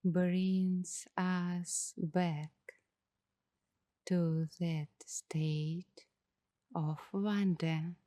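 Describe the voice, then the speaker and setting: Very slow, hushed woman doing ASMR. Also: lowish voice, fairly even intonation, no echo, clean studio sound. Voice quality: soft, hushed, partly whispered. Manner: slow, short phrases with long pauses. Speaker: ASMR host. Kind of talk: ASMR, meditative guided narration.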